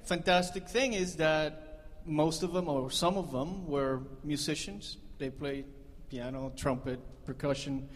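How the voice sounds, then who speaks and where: A man's voice: a little high, measured pace, few pauses. A man leading a band, chatting and joking with the audience between songs on a concert stage. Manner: joking, chatty, laughs at own jokes. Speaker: band leader. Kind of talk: onstage banter between songs. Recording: live concert hall reverb.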